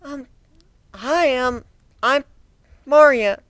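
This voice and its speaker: Man acting young female griffin, high, bright, shy, hesitant, quick-tempered, fantasy audio story. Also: dry recording, full character voice. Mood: shy, nervous. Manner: hesitant, bristling when insulted.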